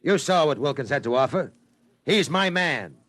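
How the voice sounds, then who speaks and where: A man's voice: on the high side, expressive, normal speed, no echo. An American man playing a blunt, ambitious and ruthless tycoon in 1950s radio drama style. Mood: curt, dismissive. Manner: forceful, blunt, imperious. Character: ambitious, ruthless male tycoon obsessed with conquering space.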